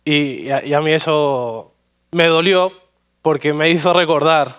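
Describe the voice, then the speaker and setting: A man with a Madrid Spanish accent gives a church sermon, his voice crisp and smooth and pitched a touch high. He mixes personal stories and jokes at his own expense into the preaching. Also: fairly even intonation, unhurried. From Spain. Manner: warm storytelling, self-deprecating humor. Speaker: guest preacher. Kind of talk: anecdotal sermon with prayer. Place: church sanctuary.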